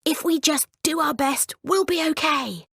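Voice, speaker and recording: A woman's voice: high-pitched, unhurried, vocal fry, bright, forceful tone, dry recording, clean studio sound, pitched above the performer's own voice, heavily acted. A woman voicing an eager, earnest young horse-loving girl in a children's storybook. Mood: soft encouragement.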